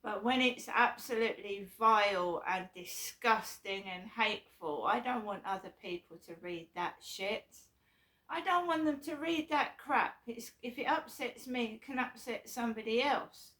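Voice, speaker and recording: A middle-aged woman with a sore throat, talking casually to camera in a rambling, halting way full of ums and restarts. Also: fairly high pitch, a bit bright. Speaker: vlogger.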